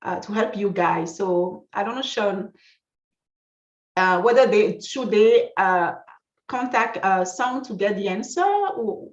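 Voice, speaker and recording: A woman's voice: medium pitch, expressive, slow pace, roomy sound, highs cut off. A woman whose first language is French, co-hosting a live online webinar as a trainer, speaking English in a friendly, supportive, slightly hesitant way. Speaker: co-host and trainer.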